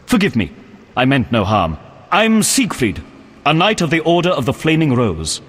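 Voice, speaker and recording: An actor playing an earnest, pious knight in a video game, speaking in a formal, courteous and solemn manner. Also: male voice, mid-range voice, huge pitch swings, normal speed, slightly rough. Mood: courteous, eager. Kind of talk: video game character acting. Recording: long echoing reverb.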